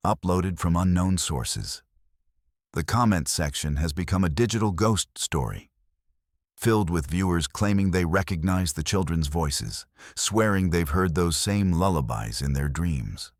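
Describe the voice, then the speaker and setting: A man reading scripted horror stories as a narrator, with a measured, ominous delivery. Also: low voice, dry recording, clean studio sound.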